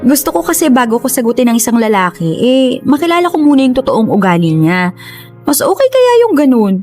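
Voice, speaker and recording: A woman's voice: high voice, big pitch swings, fairly quick, a little mellow, faint music behind. A woman playing a coy, playful young woman in a Tagalog radio drama, chatting in a teasing, protesting way. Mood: coy, earnest. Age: young adult.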